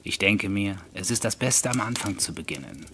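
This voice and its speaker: A man voicing a grave, mysterious gentleman from the nineteenth century in an audiobook, speaking with formal, measured politeness. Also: fairly low pitch, lively intonation, a little hiss or hum. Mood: grave, courteous. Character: courteous, grave, mysterious gentleman ghost in Victorian dress. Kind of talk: audiobook character dialogue.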